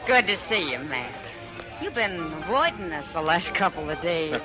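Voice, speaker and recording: A woman's voice: sits low, big pitch swings, normal speed. An American actress playing a teasing, caring frontier saloon woman in 1950s radio drama. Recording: vintage radio recording with music.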